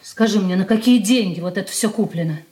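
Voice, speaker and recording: An actress playing a discontented, proud married daughter who advises and prods in a knowing, aggrieved way in a Soviet radio play. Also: female voice, mid-range voice, brisk, dark tone, close to an everyday voice. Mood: uneasy.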